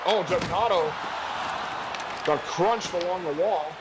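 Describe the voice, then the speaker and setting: A man calling a hockey video game play by play, getting loud and excited when a goal goes in. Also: slow pace, heavy background noise. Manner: excitable play-by-play, jumps high on goals. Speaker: play-by-play commentator.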